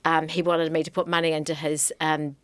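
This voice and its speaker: A woman hosting a podcast interview, speaking in halting phrases with many restarts. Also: low-pitched, flat delivery, fairly bright tone, no room sound. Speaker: podcast host.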